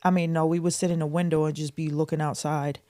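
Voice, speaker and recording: A woman's voice: low-pitched, little pitch movement, fast talker, dark tone, no echo. Candid woman reminiscing in a dry room. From Boston area, Massachusetts. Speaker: interview guest, mother. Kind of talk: casual personal reminiscence.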